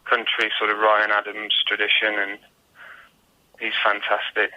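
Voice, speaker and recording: A British man speaking as a musician guest in a casual interview, thoughtful, modest and measured in how he talks. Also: on the low side, completely flat delivery, dull audio. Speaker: guest singer-songwriter.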